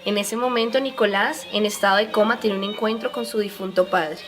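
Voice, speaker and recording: Woman narrator, medium pitch. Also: fairly even intonation, brisk, over music. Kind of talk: scripted radio drama narration.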